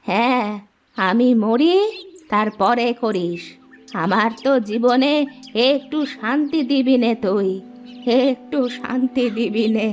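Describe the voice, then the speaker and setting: A woman plays an old, ailing village mother from a comic cartoon. Her voice is high and drawn out as she nags and complains. Music runs underneath. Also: slow pace, highs cut off. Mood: aggrieved. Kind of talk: Bengali comic cartoon dialogue.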